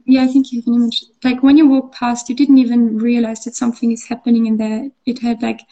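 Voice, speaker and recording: A woman from Austria speaks as the guest in a relaxed interview. She pauses often and restarts her sentences. Her voice is mellow and fairly high, but her pitch stays quite even. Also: muffled recording. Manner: hesitant, many fillers and restarts. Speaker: artist interviewee. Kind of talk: conversational interview.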